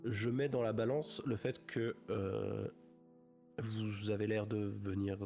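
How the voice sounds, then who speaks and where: A man doing a wary debtor's son in a tabletop game, with a lowish voice, halting and hedging as he bargains, with faint music underneath. He keeps restarting his sentences and picks his words carefully. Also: flat delivery, gravelly, dark tone. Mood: guarded. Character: wary young man bargaining over his father's debt. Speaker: game master. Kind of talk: voicing a character in a tabletop game.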